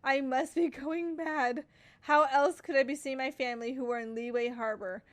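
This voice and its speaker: A woman streamer reading in-game text aloud as she plays, in a slightly high voice. She reads casually, trips over words and stops to comment. It sounds like a home gaming setup. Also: steady tone, moderate pace.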